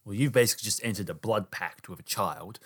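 A man's voice: lowish voice, fast talker, a bit bright, husky. A man playing in an improvised role-play game, scolding in character in an indignant, stern way.

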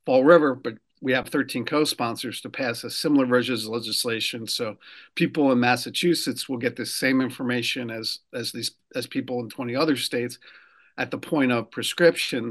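A man from New Jersey, a political consultant, explaining a law in a radio interview, pausing often with fillers and restarts. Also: mid-range voice, a bit bright. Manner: measured, many fillers and restarts. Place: lives in Rhode Island.

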